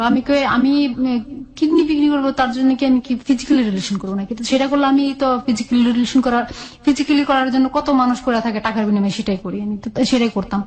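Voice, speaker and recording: A woman's voice: a little high, a little mellow, rough recording. A woman with a Bangladeshi accent telling her own life story in an interview, colloquially, often recounting conversations as dialogue. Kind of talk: candid personal storytelling in an interview.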